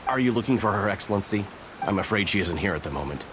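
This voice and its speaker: A man's voice: lowish voice, expressive, fast talker, noisy background, natural voice. Fussy, by-the-book soldier, as a man voices him; precise, formal, over game ambience. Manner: stiff, bureaucratic, precise.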